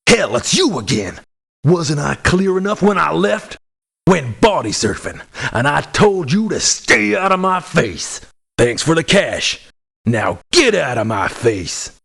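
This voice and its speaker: A man playing a gruff, blunt, hot-tempered mercenary for hire in a video game, with a gravelly, husky voice. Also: very animated, unhurried, a bit bright, exaggerated voice. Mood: irritated. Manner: blunt, cranky, folksy swearing. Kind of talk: video game character voice lines. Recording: low sample rate game audio clips.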